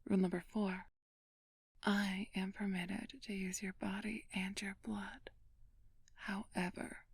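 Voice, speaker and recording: A woman playing an ancient vampire thief in an ASMR roleplay, flirtatious and imperious, speaking in a breathy, teasing, commanding whisper. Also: medium pitch, little pitch movement, a little hiss or hum. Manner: slow, teasing, commanding, haughty.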